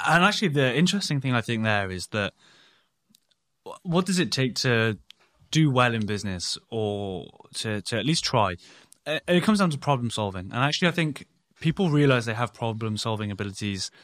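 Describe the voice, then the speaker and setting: A man's voice: medium pitch, animated, a little fast, fairly bright tone. A man hosting an interview and explaining his points emphatically. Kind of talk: conversational interview.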